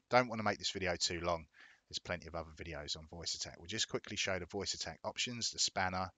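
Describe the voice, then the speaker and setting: A man narrating a casual software tutorial in a plain-spoken, step-by-step explanatory way. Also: low voice, no echo. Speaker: video tutorial presenter.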